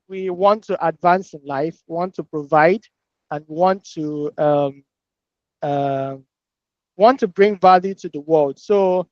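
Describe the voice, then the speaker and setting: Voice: medium pitch, clear, resonant tone, dry recording. Hesitant, reflective speaker in a dry, muffled recording. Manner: hesitant, reflective, with repeated words. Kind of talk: casual discussion.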